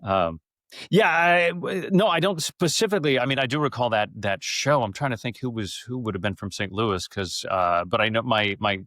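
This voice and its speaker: A man's voice: medium pitch, moderate pace, fairly bright tone, dry recording, studio quality. A man talking casually and conversationally as an interview guest.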